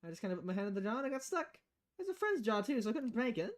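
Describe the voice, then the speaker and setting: A woman voicing an excitable, cheerfully defensive, clumsy boss in a visual novel read-aloud. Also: on the high side, very fast.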